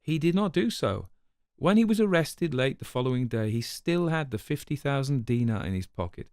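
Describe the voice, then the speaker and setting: A man's voice: medium pitch, expressive, normal speed, a little mellow, no room sound, clean studio sound, voice pushed up, natural voice. A man voicing a callous, haughty spymaster with formal, precise, disdainful phrasing in a dramatic story reading. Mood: callous, scornful. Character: callous, haughty male spymaster, coolly dismissive.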